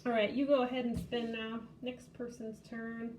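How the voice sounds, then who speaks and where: A woman's voice: a little high, level tone, normal speed, a little mellow, slight background noise, poor audio. A woman hosting a children's library storytime, casually showing a craft and game step by step in simple words.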